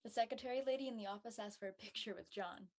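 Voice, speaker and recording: A woman playing a sensible, helpful high-school girl in an amateur script reading. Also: quick pace, lightly acted. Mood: reassuring. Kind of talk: amateur audio drama table read.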